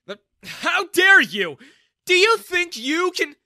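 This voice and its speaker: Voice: extremely high pitch, very animated, slow, pushed and bright, no echo, studio quality, pitched above the performer's own voice, exaggerated voice. A narrator voicing a sneering, hot-tempered middle-aged father in a dramatised audio story, with a sarcastic, blustering manner. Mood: furious. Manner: sarcastic, blustering, sputtering when challenged. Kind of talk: dramatised first-person web-novel audio story.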